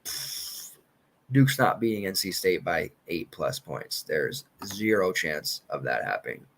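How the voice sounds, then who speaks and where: A man in his thirties, losing his voice and clearing his throat, rambling casually through sports betting picks. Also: deep voice, sing-song, a little slow, a bit bright, slight background noise, rough recording. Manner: loose, rambling, confident picks with filler and restarts. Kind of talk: casual solo podcast commentary.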